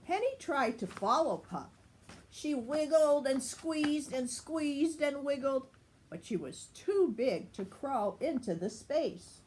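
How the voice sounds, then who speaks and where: Slow, lively older woman reading a storybook. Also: high-pitched, slight background noise, poor audio. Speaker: grandmother reading a children's story.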